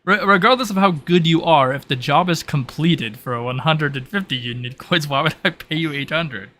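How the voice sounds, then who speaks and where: A man's voice: on the high side, normal speed, bright, forceful tone, a little hiss or hum. A man running a tabletop roleplay as game master, improvising narration and talking with his players.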